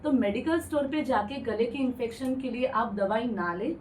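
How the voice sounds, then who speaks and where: A woman physician with a fairly high voice speaks calmly and plainly, mixing English medical words into her speech. She sounds a bit distant from the microphone. A faint hiss sits behind her. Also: normal speed. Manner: explains patiently, mixes English medical terms into Hindi. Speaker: ENT doctor guest. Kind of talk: radio health interview, explaining plainly. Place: radio studio.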